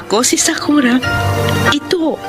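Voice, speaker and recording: A woman's voice: high-pitched, a little slow. A woman acting in a radio drama as a devoted, grateful single mother, speaking in an earnest, emotional way. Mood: content, reflective. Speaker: lead voice actor and first-person narrator. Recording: loud music under the voice.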